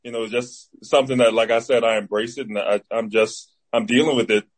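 A man's voice: medium pitch, steady tone, a little fast. A man in his twenties from the Bahamas with a Bahamian accent, an athlete giving casual interview answers as a guest. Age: 20s. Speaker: interview guest, football player.

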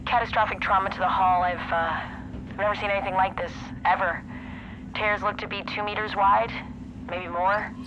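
An actress playing a capable, salty submersible pilot in naturalistic audio fiction, with terse, casual delivery. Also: female voice, medium pitch, fairly even intonation, normal speed, noisy background, natural voice. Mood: awed, uneasy. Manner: terse radio procedure mixed with casual swearing.

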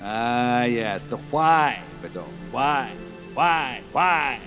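An actor playing a grandiose, self-assured visionary scientist in an audio drama, speaking deliberately and theatrically. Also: male voice, mid-range voice, expressive, music in the background. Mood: grave, visionary. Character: grandiose visionary male scientist host unveiling his underground biosphere.

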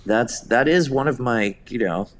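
A man's voice: mid-range voice, measured pace. A man being interviewed, telling anecdotes in a conversational, effusive way full of praise. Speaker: guest, theatre writer and director.

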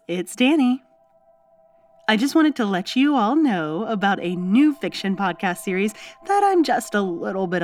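A woman podcast host reading a scripted promo in a conversational style. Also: medium pitch. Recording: music under the voice. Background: prominent music.

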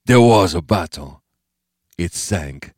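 A male narrator plays an old man in a thriller audiobook. He is menacing and commanding, with short, sharp phrases. The voice sits low, in a dry, close sound. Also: expressive, slow, no echo, heavily acted. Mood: terse, gleeful. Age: older.

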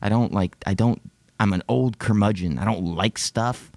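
Thirties guy rambling and swearing on his podcast, lowish voice, dry close mic. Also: moderate pace, vocal fry, darkish tone, no echo. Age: 30s. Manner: rambling, profane, self-deprecating. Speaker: podcast host. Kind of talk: casual chat.

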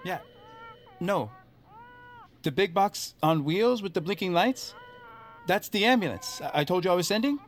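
A friendly, talkative male dispatcher in an audio drama. His voice is high and swings around a lot, chummy and a little exasperated, over faint background ambience. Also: animated, moderate pace, pauses often, slight background noise. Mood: patient, exasperated. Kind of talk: naturalistic audio drama dialogue.

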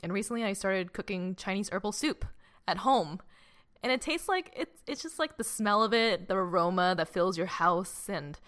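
A woman's voice: mid-range voice, lively intonation, moderate pace, fairly bright tone. A young woman co-hosting a podcast in casual, reflective chat, often saying "like" and "you know". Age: young adult. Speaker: podcast co-host.

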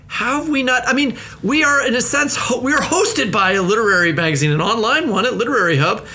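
A man's voice: extremely high pitch, expressive, brisk, sharp tone. A man speaking casually as the host of a podcast.

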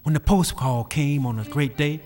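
A man's voice: a little high, moderate pace. A man narrating an old-time radio drama in a folksy storytelling manner. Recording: old radio recording with music behind.

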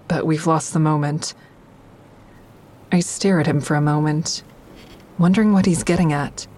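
A woman's voice: fairly low pitch, a little mellow, slight background noise, natural voice. An actress playing a frank, sardonic young woman who narrates her own story in an audio drama in a confessional, wry way. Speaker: actor in a fiction podcast.

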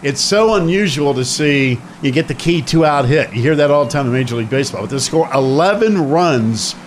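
A man's voice: on the high side, expressive, fairly quick, a little hiss or hum. A man hosting a sports talk radio show, chatting with a co-host and taking phone calls in an emphatic, repetitive way. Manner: chatty, emphatic, repeats himself.

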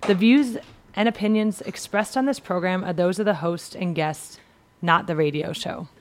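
A woman's voice: on the low side, normal speed. A woman hosting a radio show who reads out announcements and then explains the show's theme, with some fillers. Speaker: radio host.

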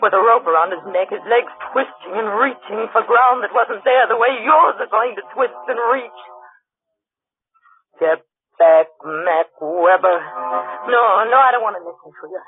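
A woman's voice: medium pitch, moderate pace, slightly rough, no room sound. A woman with an American accent playing a young, intense, wounded wife in a theatrical, emotional old-time radio drama. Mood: bitter, grieving. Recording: tinny old radio recording, faint music.

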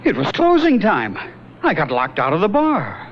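An actor playing Bill, an easygoing young man with a relaxed, wry, joking manner, in a 1970s radio drama. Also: sits high, moderate pace, a little hiss or hum, full character voice. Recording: dull lo-fi radio broadcast.

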